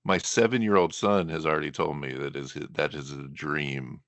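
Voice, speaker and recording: Brief: male podcast host, very low pitch, dry close sound, easygoing conversational manner. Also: normal speed, no echo. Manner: relaxed, conversational, uses "like" as a filler.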